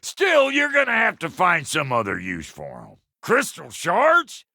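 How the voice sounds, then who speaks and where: A gravelly, cantankerous dwarf blacksmith from a video game, drawling in a Southern American accent with an unhurried pace. The pitch swings wildly, and the delivery is crude, folksy and blunt, with a good heart underneath. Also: male voice, huge pitch swings, sharp tone, dry recording, studio quality, full character voice. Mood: blunt, matter-of-fact. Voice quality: gravelly, rough-edged. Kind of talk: video game character acting.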